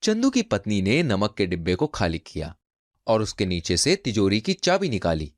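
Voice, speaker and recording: Animated low-voiced male storyteller. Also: normal speed, no echo, studio quality. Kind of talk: scripted narration of children's cartoon folk tales.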